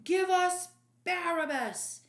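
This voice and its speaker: Lady doing an angry chanting priest for a storybook, high n loud, insistent, echoey. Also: lively intonation, slow pace, heavily acted. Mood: chanting, frenzied. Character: vengeful, chanting male priests demanding death.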